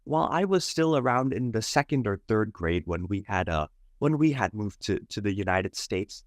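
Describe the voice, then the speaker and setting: A man's voice: lowish voice, expressive, moderate pace, no echo, clean studio sound. A man from Canada, born in India, speaking as an interview guest in a polite, earnest, wordy way with hesitant repeats. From Toronto, Canada, born in Mumbai, India. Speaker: interview guest, environmental scientist. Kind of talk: conversational personal storytelling.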